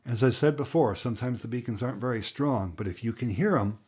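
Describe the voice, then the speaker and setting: A man's voice: mid-range voice, fast, lo-fi sound, background noise. A man narrating an explanatory tutorial as an instructor, plain-spoken and going through things step by step. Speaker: amateur radio instructor, video host.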